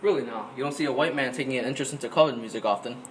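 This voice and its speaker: Man playing a fast-talking 1920s radio host. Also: medium pitch, lightly acted. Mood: curious. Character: brisk 1920s male radio host, folksy and businesslike. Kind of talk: 1920s radio talk show acting. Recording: noisy amateur recording.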